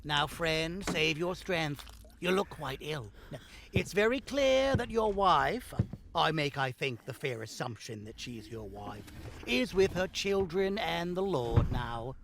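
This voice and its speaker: An actor playing a callous, quick-tempered looter leader with theatrical mock courtesy in a horror audio drama. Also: male voice, fairly high pitch, very animated, background noise, full character voice. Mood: mock-friendly.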